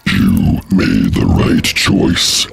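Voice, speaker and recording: A man voicing a large, menacing seaweed sea monster in a deep, gurgling, gravelly voice for a scary children's story. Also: sing-song, faint music behind, exaggerated voice. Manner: very slow, drawn-out, menacing delivery.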